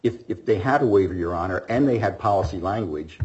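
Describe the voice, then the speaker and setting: A man's voice: sits low, expressive, quick pace, darkish tone, highs cut off. A man arguing in court as an attorney, firm and insistent. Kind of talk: courtroom argument.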